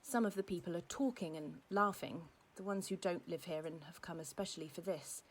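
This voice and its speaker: An actor playing a grieving woman who gently describes what she watches, in simple, hesitant phrases, as an audio drama monologue. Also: lowish voice, brisk, a little hiss or hum, natural voice. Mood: wistful, hesitant. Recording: music behind the voice.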